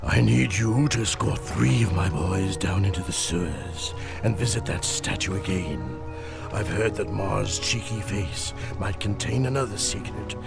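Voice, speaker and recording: An actor playing an oily, scheming crime boss in a video game, slyly ending phrases on rising tags. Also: male voice, sits low, unhurried, gravelly. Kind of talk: video game villain acting. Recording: loud music over the voice.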